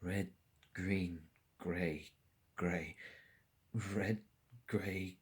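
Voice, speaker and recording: A man playing an anguished, brooding young man in a short film drama, muttering and halting as he talks. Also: sits low, fairly even intonation. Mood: bleak, haunted. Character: anguished young man losing his colour vision. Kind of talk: short film drama acting, introspective monologue.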